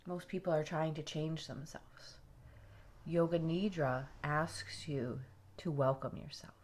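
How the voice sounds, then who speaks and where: Lady with a low voice doing guided yoga nidra, soothing and unhurried, goes soft and breathy as phrases end. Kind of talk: guided relaxation meditation.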